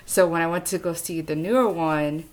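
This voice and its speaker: Young female co-host, low register. Casual, filler-heavy talk that acts out quoted reactions. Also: moderate pace. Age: young adult. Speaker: podcast co-host. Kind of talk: casual chat.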